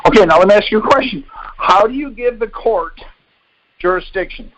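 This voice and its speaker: High-pitched man, insistent and corrective. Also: animated, a little fast, fairly bright tone, slight background noise, low-quality recording. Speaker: call leader and instructor. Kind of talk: explaining and debating on a conference call.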